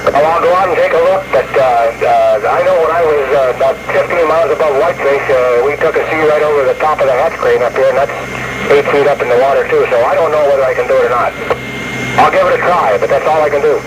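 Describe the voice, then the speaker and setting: A man's voice: mid-range voice, quick pace. A man, a ship captain, talking plainly and a little hesitantly over VHF radio. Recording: noisy VHF radio, old reel-to-reel tape. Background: loud radio and tape noise.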